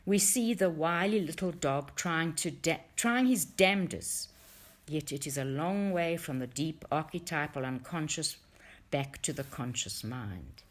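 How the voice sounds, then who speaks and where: Expressive low-voiced woman reading aloud. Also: unhurried. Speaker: audiobook reader for a study group. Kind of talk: read-aloud narration of a book.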